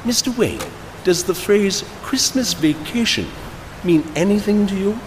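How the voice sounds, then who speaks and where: Man playing high, lively, fussy old butler. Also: unhurried. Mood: dry. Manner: formal, questioning, fussy. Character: solicitous, dryly reproving elderly male butler. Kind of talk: audio drama acting. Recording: loud music and noise behind.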